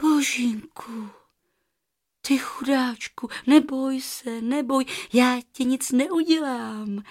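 A woman's voice: high voice, animated, pitched above the performer's own voice. A woman voicing a kind, eager little girl in a children's storybook. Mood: soothing.

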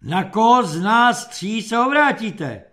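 A man's voice: high-pitched, expressive, pushed and bright, voice pushed up. A man voicing a pompous, irritable army general in an audiobook, lecturing and barking with condescension. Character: pompous, loud, irritable bald army general, pedantic about rank. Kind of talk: audiobook character acting.

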